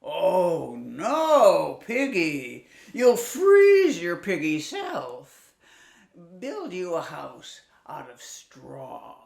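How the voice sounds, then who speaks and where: A woman voicing a sly big bad wolf who coaxes in a loud, slow drawl. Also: fairly low pitch, wildly swooping pitch, vocal fry, roomy sound, heavily acted. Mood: coaxing.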